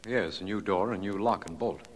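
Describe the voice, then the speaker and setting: An actor playing an earnest, skeptical lawman who is easily baffled, brisk and questioning, in a 1940s radio mystery. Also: sits low. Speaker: actor playing the detective's official ally.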